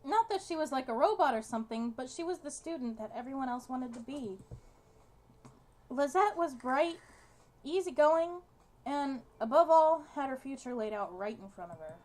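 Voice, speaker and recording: A woman's voice: sits high, lively intonation, normal speed, darkish tone. A woman reading a visual novel's narration aloud as part of a game playthrough. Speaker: gaming video co-host.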